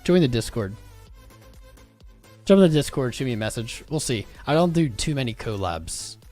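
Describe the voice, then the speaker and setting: A man's voice: medium pitch, animated, moderate pace, a little mellow, soft background music. Slangy man hosting a crypto livestream. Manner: rambling, slangy, talks straight to chat. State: losing his voice. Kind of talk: casual livestream chat.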